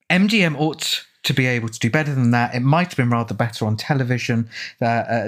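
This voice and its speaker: A man talks through a film in an informal, chatty commentary. His pitch is mid-range, and he speaks discursively, like an author sharing notes with a friend. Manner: chatty, discursive, reading out quotes.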